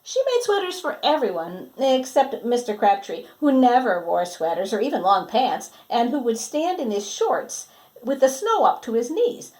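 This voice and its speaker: Animated woman reading a children's story. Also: on the high side. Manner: dramatic, asks the young listeners questions. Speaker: children's story reader and teacher. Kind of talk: animated picture-book read-aloud.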